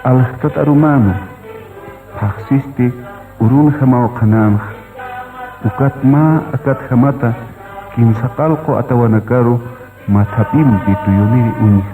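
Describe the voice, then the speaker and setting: A male narrator reads a radio drama script in a mid-pitched voice. The sound is dull and lo-fi, with prominent music behind him. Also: a little slow, long pauses.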